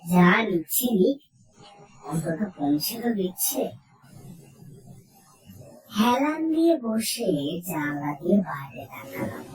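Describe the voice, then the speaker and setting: Slow, animated woman telling a horror story. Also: fairly low pitch. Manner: suspenseful, theatrical, with dramatic pauses. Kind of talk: dramatic first-person horror narration. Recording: roomy echo, distant from mic.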